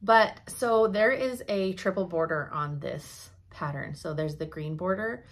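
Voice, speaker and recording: A young woman giving a chatty cross-stitch update from her home craft room, her voice slightly hoarse, laughing often as she thinks aloud. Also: on the low side, measured pace. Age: young adult. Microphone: camera in front at desk.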